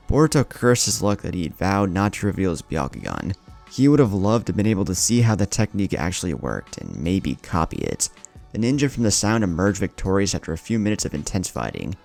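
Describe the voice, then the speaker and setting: A man's voice: deep voice, gravelly, a little mellow. A man reading scripted fiction as a narrator, in a dramatic storytelling manner. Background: music bed.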